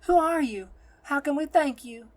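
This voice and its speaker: A narrator takes on a fervent nun in a story. The voice sits high and pushes out loudly, protective and full of conviction. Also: moderate pace, close to an everyday voice. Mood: awestruck. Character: stern nun, protective, then awestruck and pleading.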